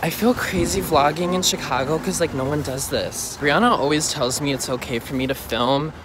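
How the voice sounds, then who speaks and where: A young man vlogging casually on a phone at arm's length, walking city streets and chatting excitably with lots of "like". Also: fairly high pitch, moderate pace, background noise. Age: young adult. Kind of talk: casual vlog chat. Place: bedroom indoors, then city streets outdoors. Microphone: phone held at arm's length.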